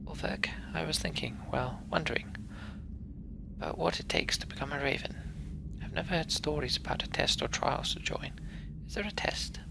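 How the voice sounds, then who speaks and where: A man's voice: on the high side, moderate pace, creaky, in a whisper, pitched above the performer's own voice, rough recording. Man voicing a nervous young mender girl. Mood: curious. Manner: hesitant, stammering.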